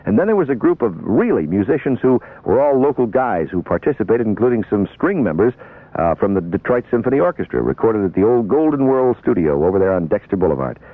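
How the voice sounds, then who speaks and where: A man with a raspy voice working as a radio DJ, chatting and rambling through oldies memories with fillers. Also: on the low side, very animated, fast, no echo. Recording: tinny narrow-band radio aircheck.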